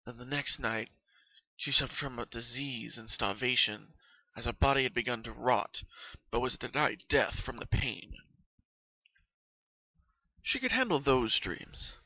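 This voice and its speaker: A man's voice: medium pitch, expressive, unhurried, pushed and bright, no room sound, poor audio. A man narrating a horror story as a solemn, dramatic reading.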